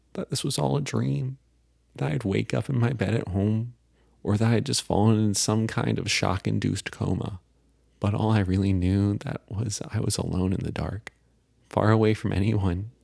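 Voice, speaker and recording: A man narrating a dramatic first-person story as a frightened, lonely teenage boy, solemn and full of pauses. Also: on the low side, vocal fry, darkish tone, husky, no room sound, studio quality, heavily acted. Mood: bleak, despairing.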